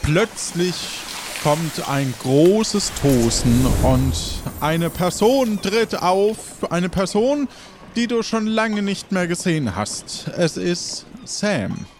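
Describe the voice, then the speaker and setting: A man narrates an adventure game as its host, playful and theatrical. His fairly high voice swoops around and takes its time, with soft music underneath. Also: very animated, takes it slowly, noisy background. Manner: playful, dramatic delivery.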